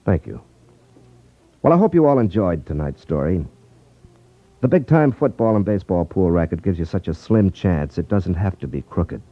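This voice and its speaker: An actor playing a confident, wry detective in a 1950s radio drama, explaining things with self-assurance. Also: male voice, sits low, animated, normal speed, dry recording. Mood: earnest sign-off. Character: confident, wry male ex-magician rackets detective. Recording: muffled 1950s radio recording.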